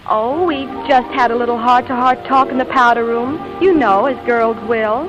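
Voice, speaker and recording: Actress as sharp-tongued romantic heroine, high-pitched and sardonic, vintage radio sound with muffled top end. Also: female voice, close to an everyday voice. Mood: wry. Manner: sardonic, quick with wry retorts. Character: sharp-tongued, skeptical woman pursued by her old sweetheart. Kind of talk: 1940s radio romantic comedy acting. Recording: dull vintage radio recording, music behind.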